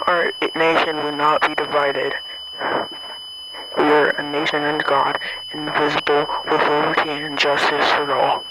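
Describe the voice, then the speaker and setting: Male emergency announcer, highish pitch, completely flat and solemn, buried in loud hum and alarm-like noise. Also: normal speed.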